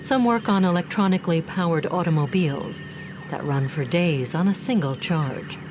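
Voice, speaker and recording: An actress is a composed female storyteller introducing an audio drama. Her voice is fairly low and the scripted narration runs over clear ambient noise. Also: moderate pace, lightly acted. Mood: measured.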